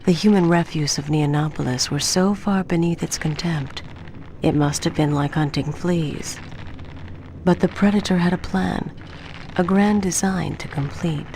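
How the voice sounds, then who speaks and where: An actress playing a grave game narrator who tells a dark hunting tale in solemn, dramatic narration. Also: female voice, lowish voice, a little slow, a little hiss or hum, rough recording. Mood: solemn, ominous. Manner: solemn, ominous storytelling. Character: grave adult woman narrator recounting a hunter's bloody tale. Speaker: story narrator.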